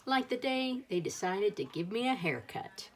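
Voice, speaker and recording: A woman's voice: mid-range voice, sing-song, moderate pace, a little hiss or hum. A woman reading a children's picture book aloud as a storyteller, expressively acting out the dialogue.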